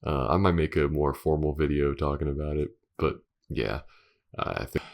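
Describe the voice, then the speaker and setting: A man's voice: rumbling low, steady tone, moderate pace, gravelly. Chatty young man giving a loose outro. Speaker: voice actor and channel host. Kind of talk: casual outro chat.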